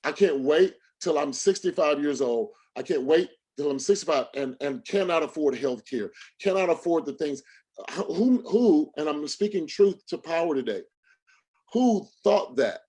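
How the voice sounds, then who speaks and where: A man's voice: on the high side, animated, normal speed, dark tone, breathy edge. A man giving a motivational sales talk on a livestream as a coach, speaking emphatically with preacher-like repetition and rhetorical questions.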